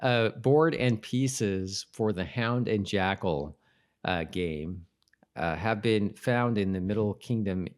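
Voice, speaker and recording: A man co-hosting a podcast, chatting casually about a TV show in a halting way that repeats and trails off. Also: on the low side. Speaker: podcast co-host.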